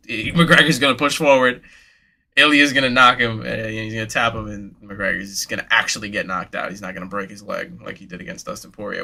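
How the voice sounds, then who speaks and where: A man's voice: fairly low pitch, sharp tone. A young man hosting a live sports card break, chatting casually and hyped with slang, speaking straight to his viewers in a slightly nasal voice.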